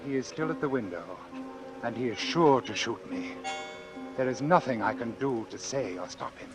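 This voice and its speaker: A man acting as an anxious, doomed man in an old suspense radio play. He speaks haltingly and with measured care, over music and rain on a vintage radio recording. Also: medium pitch, expressive, close to an everyday voice. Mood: resigned. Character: anxious doomed man who arranged his own killing.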